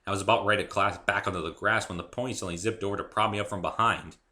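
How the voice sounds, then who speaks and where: A man's voice: on the low side, steady tone, very fast, bright, forceful tone. A man giving a dramatic first-person reading of his own story, theatrical and emphatic.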